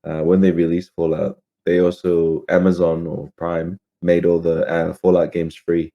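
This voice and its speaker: A man's voice: low voice, monotone, moderate pace, vocal fry, dark tone, lo-fi sound. A man co-hosting a podcast, talking casually about games and films. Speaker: podcast co-host.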